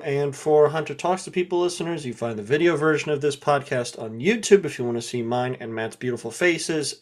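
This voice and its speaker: Eager male podcast host. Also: medium pitch. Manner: eager, long rambling questions with fillers. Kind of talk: casual interview talk.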